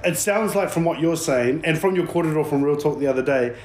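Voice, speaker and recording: A man hosting a casual interview, relaxed and using slang. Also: a little high, fast talker, reverberant, a little hiss or hum. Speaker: host.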